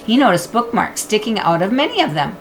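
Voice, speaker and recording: A woman narrating a children's book in an expressive storytelling style. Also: medium pitch, brisk, slight background noise. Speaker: audiobook reader.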